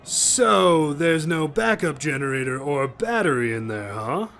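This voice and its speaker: A man's voice: on the high side, expressive, measured pace, creaky, fairly bright tone, soft background music, exaggerated voice. A man voicing a small, sly, grumbly alien factory worker in an audio drama. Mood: sly, casual.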